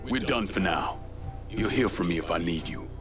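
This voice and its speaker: A man's voice: deep voice, moderate pace, over music, lightly acted. A man playing a stern, determined crime boss in a video game cutscene, speaking tersely and firmly. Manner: firm, terse, matter-of-fact.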